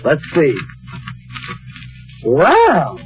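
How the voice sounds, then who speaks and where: An officious American clerk in a vintage radio sitcom. He is dry and polite in a fairly high male voice, with some music in the recording. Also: wildly swooping pitch, very slow, full character voice, poor audio. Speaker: radio sitcom supporting actor. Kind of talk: 1950s American radio comedy acting.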